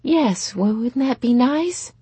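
A woman voicing an anxious, sweet, sad mother in a storybook, cooing gently and hesitantly over her daughters. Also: mid-range voice, very animated, extremely slow, breathy edge, no echo, pitched above the performer's own voice, heavily acted. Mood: hopeful, gentle.

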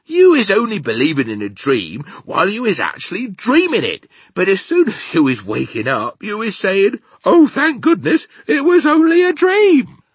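A man voicing a big, gentle but stubborn storybook giant who talks in muddled grammar and invented words. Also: sits high, huge pitch swings, moderate pace, few pauses, breathy edge, no echo, pitched above the performer's own voice, full character voice. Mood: exasperated. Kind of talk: children's storybook character reading.

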